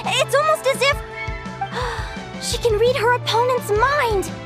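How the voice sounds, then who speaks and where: Vocina infantile altissima ed eccitabile. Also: female voice, very high voice, measured pace. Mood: cheering, excited. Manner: excitable, exclamatory. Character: small high-pitched excitable girlish companion character. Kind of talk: video game voice acting. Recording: loud music behind. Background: game music.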